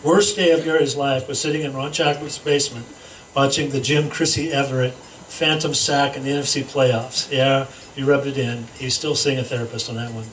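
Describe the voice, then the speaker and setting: A man's voice: medium pitch, steady tone, normal speed, a bit bright. Man reading a roast in an echoey room. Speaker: speaker reading a roast tribute aloud. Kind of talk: comedic roast speech read aloud to a gathering. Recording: echoey room, distant mic, noisy background.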